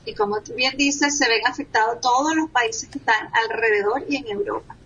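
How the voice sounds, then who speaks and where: A woman's voice: fairly high pitch, normal speed, a bit bright, breathy edge, dull audio. A woman from Venezuela, a guest on a talk show, speaking in an earnest, reflective way. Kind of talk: radio talk show interview.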